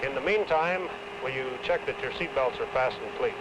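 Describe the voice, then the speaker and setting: A man playing a courteous airline captain, making a measured, formal cabin announcement in a 1960s film. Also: mid-range voice, lightly acted. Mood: calm, courteous. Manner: measured, polite, official. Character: courteous airline captain addressing his passengers. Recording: noisy old film, aircraft drone.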